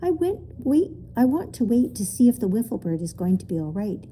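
A woman's voice: on the high side, big pitch swings, brisk, dark tone, slight background noise. A woman voicing an earnest, tearful little girl who pleads in a children's storybook. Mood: pleading. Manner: plaintive, pleading. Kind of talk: children's storybook character reading.

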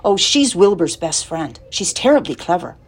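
A woman's voice: fairly low pitch, very animated, normal speed, breathy edge. A woman voicing an earnest, chatty young farm girl in a storybook, innocent and matter-of-fact. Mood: eager.

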